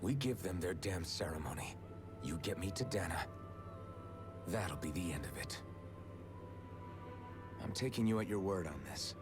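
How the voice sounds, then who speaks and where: A man's voice: low-pitched, expressive, normal speed, long pauses, over music. A man playing a bitter, guarded young captive in a video game cutscene, terse and resentful. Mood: grimly resolved.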